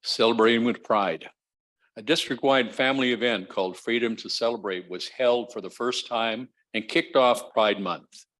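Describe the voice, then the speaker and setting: A man reads a scripted community news roundup as a school trustee. His pitch is average, and he reads carefully, with the odd stumble. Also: moderate pace, fairly bright tone, low-quality recording.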